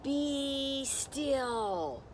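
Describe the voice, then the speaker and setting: A woman's voice: high voice, pitched above the performer's own voice. Lady doing bold little boy from a picture book, bossy, draws out his orders, slight noise behind. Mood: commanding. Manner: commanding, drawn-out proclamations.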